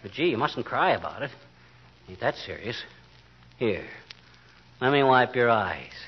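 Old-time radio comic lead: a hot-tempered, blustering middle-aged husband with sputtering folksy cusses. Lively, bouncing delivery on a muffled vintage broadcast. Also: male voice, high voice, moderate pace. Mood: apologetic. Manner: sputtering, mock-swearing with folksy exclamations. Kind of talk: 1940s radio comedy acting. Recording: muffled old radio recording with faint hiss.

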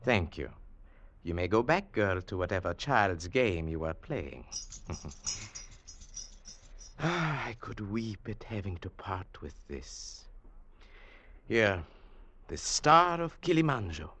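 Pompous indian gem dealer, old radio play, low voice that swoops around, silky and formal. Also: male voice, very animated, takes it slowly, pauses often, fairly bright tone, slight background noise, heavily acted. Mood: silky, courteous. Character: suave, haughty Indian gem dealer in his palace. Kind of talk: old-time radio drama acting.

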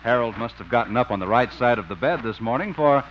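A man's voice: mid-range voice, lively intonation, quick pace, a little hiss or hum. A man announcing and narrating a 1950s radio show in a scripted style. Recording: muffled 1950s radio recording.

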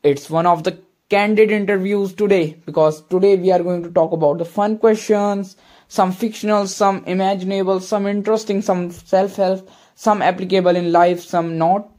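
A man's voice: high-pitched, fairly even intonation, normal speed, a little mellow. Earnest man hosting a podcast. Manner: earnest, repeats phrases, hesitant fillers. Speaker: podcast host. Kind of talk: hosted podcast intro and interview.

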